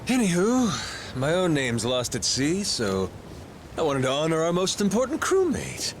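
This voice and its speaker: An actor voicing a brash, playful and encouraging pirate captain in a video game, with theatrical delivery. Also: male voice, fairly high pitch, wildly swooping pitch, measured pace, a little hiss or hum.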